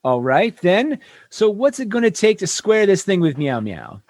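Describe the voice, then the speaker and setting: Exasperated, tactless male space captain, played by a man for radio comedy. High voice with big swoops, blustering and pleading. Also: big pitch swings, normal speed, a little mellow. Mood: frustrated. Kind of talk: sci-fi comedy radio acting.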